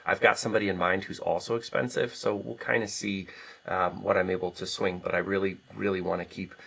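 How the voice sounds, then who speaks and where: A man's voice: deep voice, steady tone, a little fast, vocal fry, a bit bright, breathy edge. An American man, an author, answering interview questions in an earnest, candid, conversational way. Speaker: author, podcast guest.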